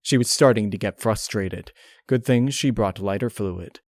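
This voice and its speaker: A man reading a dark horror story aloud as a scripted narrator, close on the mic. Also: lowish voice, moderate pace, no room sound.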